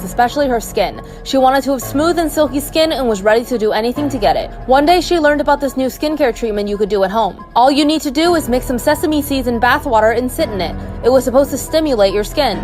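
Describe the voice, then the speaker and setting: A woman's voice: on the high side, a little mellow. A woman reading scripted scary stories as a storyteller. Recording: music under the voice. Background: music.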